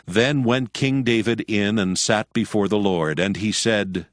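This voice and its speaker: A male narrator reads scripture in a fairly low voice. The sound is very dry and close, in the scripted style of an audio Bible. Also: a little slow, no room sound.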